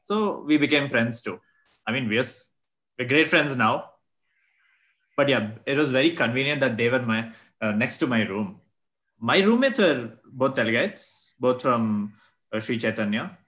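Brief: young man from Bangalore, easygoing storyteller, mid-pitched voice. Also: animated, moderate pace, fairly bright tone. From Bangalore, India. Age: young adult. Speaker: student. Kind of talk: casual chat.

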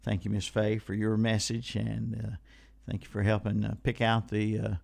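A man's voice: on the low side, completely flat delivery, normal speed, gravelly, a little mellow, no echo. A man hosting local radio and reading ads in a folksy manner. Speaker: radio swap shop host and ad reader. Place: radio studio.

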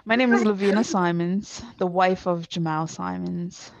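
A woman's voice: on the low side, normal speed, creaky, no echo. A woman in her late thirties chatting on a podcast, joking and hesitant at times. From Bermuda. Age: 30s. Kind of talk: casual podcast chat.

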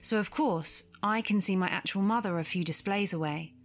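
A woman's voice: medium pitch, normal speed. A woman reading a scripted first-person story as a narrator. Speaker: guest reader of listener stories.

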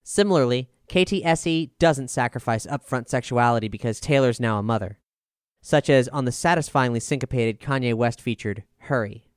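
A man with a smooth voice narrating a scripted music review as a voice-over. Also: medium pitch, normal speed, no echo, clean studio sound.

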